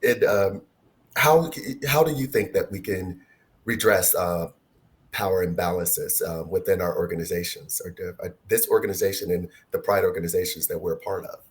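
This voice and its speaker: A man with a very deep voice leads a panel over a video call. He speaks in a measured way and fills his pauses with ums. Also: steady tone. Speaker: panel moderator. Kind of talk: online panel discussion.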